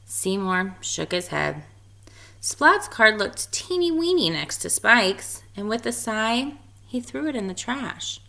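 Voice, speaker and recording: A woman reading a children's picture book aloud in an expressive storytelling style. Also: mid-range voice, slow, creaky. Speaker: story reader.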